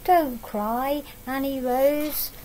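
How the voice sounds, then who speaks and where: Storybook small boy, caring and earnest, higher pitched, unhurried female reader; noisy home recording. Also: steady tone, mellow. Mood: gentle comforting.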